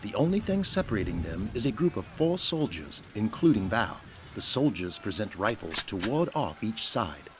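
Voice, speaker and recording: A low-voiced man narrates an audio drama with a very lively, varied delivery. He reads scripted scene descriptions over clearly audible background noise. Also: moderate pace.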